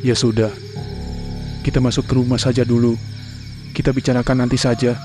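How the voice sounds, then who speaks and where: A man voicing a worried young husband in a horror cartoon, who speaks in a calm, flat, reassuring way. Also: medium pitch, brisk, music in the background, lightly acted.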